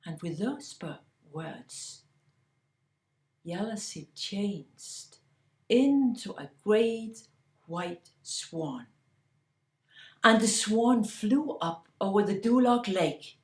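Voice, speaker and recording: A woman telling a fairy tale slowly and deliberately, sometimes dropping to a hushed whisper. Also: mid-range voice, expressive, echoey room. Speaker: storyteller. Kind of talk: oral fairy-tale storytelling.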